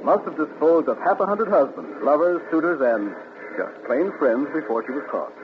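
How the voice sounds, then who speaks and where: A man's voice: fairly high pitch, expressive, normal speed, close to an everyday voice. A wry middle-aged doctor, played by a man, who relishes every grim detail. His voice rises and falls freely. It comes through a tinny, hiss-laden 1940s radio broadcast. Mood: relishing.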